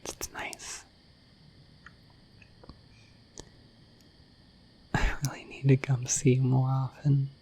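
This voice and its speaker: A woman voicing a shy, affectionate dorky dragon in an ASMR roleplay, speaking in a gentle, hesitant, breathy whisper. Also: very deep voice, monotone, moderate pace, full character voice. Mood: tender, excited. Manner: gentle, hesitant, slightly bashful, intimate.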